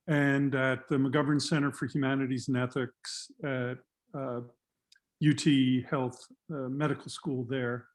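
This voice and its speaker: A man's voice: on the high side, darkish tone. Man introducing a guest speaker. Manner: measured, with frequent uh fillers. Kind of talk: formal introduction. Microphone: video call.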